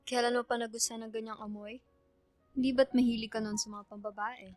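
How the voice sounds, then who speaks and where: A woman's voice: fairly high pitch, flat delivery, quick pace, no room sound, faint music behind, natural voice. A woman playing a suspicious, disapproving middle-aged mother who questions someone in a radio drama. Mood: suspicious, stern.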